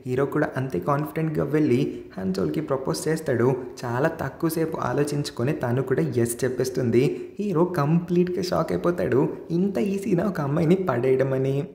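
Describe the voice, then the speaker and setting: A chatty narrator tells a film story in a casual, scripted way. The delivery is quick and the tone on the soft, mellow side, with a little gravelly creak. Dialogue gets slipped in along the way. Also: medium pitch, roomy sound.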